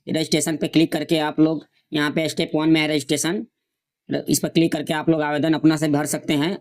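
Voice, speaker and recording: Male, slightly high pitch, very monotone, instructional, repetitive, informal tutorial narration. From India. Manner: repetitive, instructional, reading out notice details. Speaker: online centre presenter explaining job notifications.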